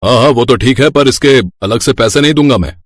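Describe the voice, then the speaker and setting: A man voicing a gruff but kindly middle-aged grain merchant in a children's moral story, plain-spoken and brotherly. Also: medium pitch, a little fast, dry recording, studio quality, lightly acted. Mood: businesslike.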